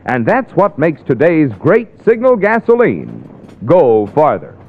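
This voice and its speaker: A man's voice: on the high side, wildly swooping pitch, a little slow, background noise. A man reading a 1950s radio commercial as an announcer. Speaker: advertising announcer. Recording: tinny old radio recording.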